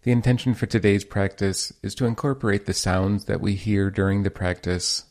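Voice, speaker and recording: A man leading a guided meditation as a teacher, speaking in a calm, measured and gently instructive way. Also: lowish voice.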